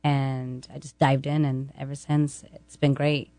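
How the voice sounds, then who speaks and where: A woman's voice: extremely low pitch, flat delivery, a little slow, mellow, no echo. A woman in her twenties talking casually as an interview guest, candid and self-assured, in short emphatic statements. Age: 20s. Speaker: podcast guest, real estate agent.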